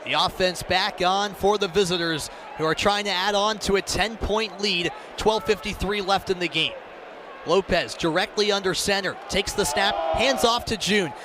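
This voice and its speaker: High-pitched American man calling live sports. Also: moderate pace, a crowd in the background, background noise. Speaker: play-by-play sports announcer. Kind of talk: live radio sports commentary.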